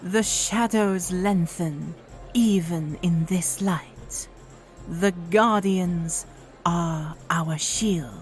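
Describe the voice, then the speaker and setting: Solemn female oracle for fantasy audio drama, acted by a woman: grave, ceremonial, extremely slow and measured. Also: fairly low pitch, faint music behind. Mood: grave foreboding. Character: solemn female oracle addressing her people.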